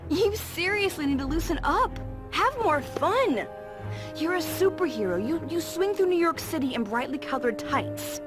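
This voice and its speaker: An actress playing a confident, flirtatious adventurer in a video game, with a sultry, teasing manner. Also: female voice, very high-pitched, lively intonation, a little slow. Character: playful, flirtatious, confident adventurous woman thief. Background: music.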